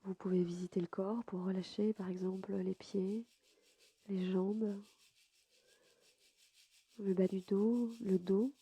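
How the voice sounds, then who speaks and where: Very soft, mellow, breathy woman guiding relaxation. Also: medium pitch, level tone, no room sound. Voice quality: soft, breathy, sometimes near a whisper. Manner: soothing, unhurried, softly spoken with pauses. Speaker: yoga nidra guide and podcast host. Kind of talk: guided sleep meditation narration.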